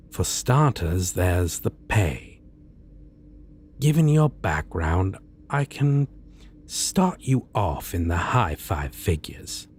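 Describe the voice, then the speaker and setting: A man playing a polite, self-assured supervillain in an ASMR roleplay, speaking in a pleasant, businesslike and theatrically measured way. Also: low voice, a little mellow, slight background noise, heavily acted. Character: polite, self-assured male supervillain interviewing a minion recruit.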